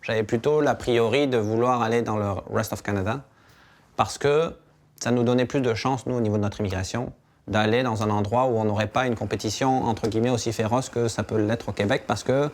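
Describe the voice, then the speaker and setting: A man's voice: medium pitch, steady tone, fairly quick. A man speaking casually about his own experience in a testimonial interview. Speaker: immigrant professional. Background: music bed.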